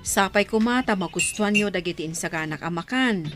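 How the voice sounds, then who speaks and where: A woman's voice: mid-range voice, a little fast, music in the background, lightly acted. A woman playing a loving, cautious, forgiving middle-aged wife in a radio drama, with an Ilocano Filipino accent. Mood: gracious.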